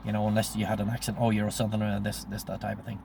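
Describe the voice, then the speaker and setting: Low, gravelly Northern Irish man of sixty. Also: flat delivery, very fast, dark tone, slight background noise. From Northern Ireland. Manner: rambling, acts out remembered dialogue. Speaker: storyteller recounting his own life. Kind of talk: casual anecdotal monologue.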